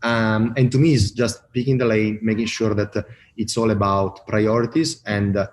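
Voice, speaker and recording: Italian man, lowish voice, slow halting guest answers with lots of "uh", faint hiss behind. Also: level tone. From Italy. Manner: halting, many fillers and restarts. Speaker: brand marketing guest. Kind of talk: casual interview talk.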